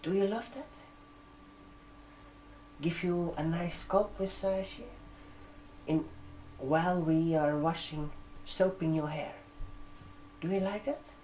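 A man in his twenties with a non-native English accent, speaking gently and haltingly in an ASMR roleplay as a barber shop receptionist. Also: a little high, slight background noise, low-quality recording. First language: not English. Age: 20s. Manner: gentle, halting, drawn-out phrasing with check-in questions. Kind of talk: ASMR roleplay, soft customer-service talk. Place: indoor room, seated at a desk with a laptop. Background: computer keyboard typing.

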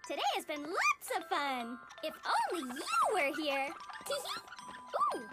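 A woman voicing a relentlessly cheerful, naive little schoolgirl in an animated cartoon, squeaky and childlike, gushing with sing-song delight. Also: unhurried, heavily acted. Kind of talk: animated TV series character acting. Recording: children's music behind the voice.

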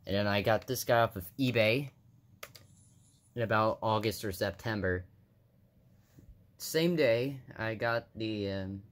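A young man narrating a casual show-and-tell of his collection indoors, offhand, with fillers and self-corrections, recorded on a handheld phone. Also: mid-range voice. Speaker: hobbyist vlogger. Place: indoors, room with carpeted floor.

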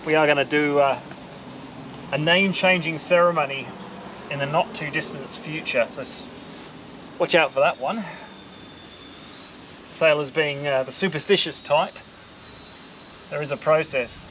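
A man's voice: on the high side, a little slow, long pauses. Australian man explaining boat work outdoors. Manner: relaxed, matter-of-fact explaining while working. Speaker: boat owner doing his own maintenance. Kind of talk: casual how-to narration. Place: outdoor boatyard. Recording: faint outdoor noise.

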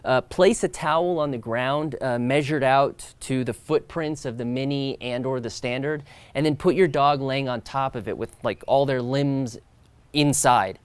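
A man in his thirties presenting a product outdoors, explaining in a relaxed way with the odd filler and restart. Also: mid-range voice, measured pace, clear, resonant tone, no room sound. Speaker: company co-founder presenting product. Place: outdoors by a stone wall.

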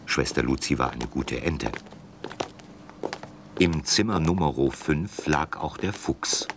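A man's voice: extremely low pitch, animated, takes it slowly, gravelly, noisy background. A man narrating a scripted children's story as the storyteller.

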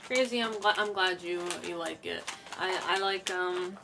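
A young woman streamer chatting casually and softly while wrapping gifts on her bedroom floor, stammering a little now and then. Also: mid-range voice, normal speed, background noise. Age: young adult. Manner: soft-spoken, relaxed, stammers a little. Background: background music.

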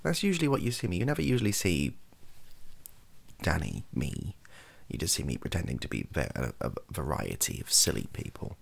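A young man with an extremely low, heavily creaky voice talks softly, as in an ASMR video. His intonation wanders very widely as he rambles in a hesitant, self-deprecating way. He sits close to the microphone. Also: big pitch swings, a little fast. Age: young adult. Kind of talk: softly spoken ASMR chat. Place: small bedroom at a desk.

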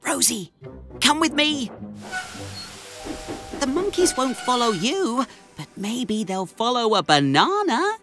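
A kind, eager little boy engine from a children's cartoon. The voice is high and lively, with unhurried, exclamatory delivery over music. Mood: excited, eager. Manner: bright-eyed, earnest, exclamatory.

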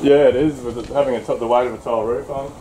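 A man in his thirties with an Australian accent, a builder explaining damage on a relaxed site walkthrough. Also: medium pitch, fast. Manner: relaxed, explanatory, lots of ums. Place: house under renovation, indoors. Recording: echoey bare rooms, noisy.

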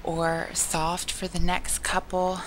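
A young woman with a sore, hoarse throat, giving casual, chatty and self-mocking commentary on a video game, slipping into soft whispery asides. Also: fairly low pitch, completely flat delivery, slow pace, very noisy. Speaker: gameplay commentator. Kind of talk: casual let's-play commentary.

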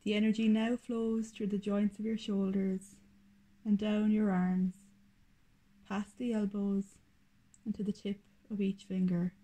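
A woman leading a guided meditation in a soothing, deliberate manner, speaking in short, unhurried phrases. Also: medium pitch, flat delivery, mellow, rough recording.

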